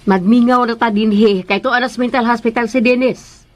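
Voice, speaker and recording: A woman's voice: on the high side, steady tone, brisk, dry recording, natural voice. An actress playing a curt, impatient mother and mistress of the house in a radio drama, brusque and snappish. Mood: stern, annoyed.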